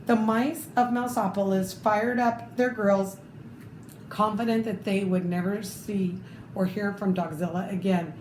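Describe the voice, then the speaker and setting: A woman's voice: mid-range voice, fairly even intonation, measured pace, a little mellow. A woman reading a children's storybook aloud expressively, adding little asides to the listener. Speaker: storybook reader. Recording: roomy echo, clear background noise.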